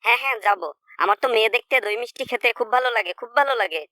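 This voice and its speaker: A woman's voice: on the high side, rapid-fire, bright, forceful tone, dry recording, studio quality. A woman voicing a cocky, greedy young male friend who boastfully rattles off exaggerations in a comedy cartoon. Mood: eager, boastful.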